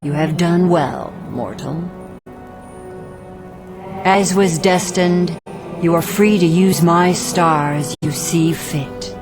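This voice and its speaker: A woman playing a serene, regal goddess in a fantasy video game, speaking in a formal, commanding, measured manner. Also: lowish voice. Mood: solemn, approving. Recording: music behind the voice. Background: music.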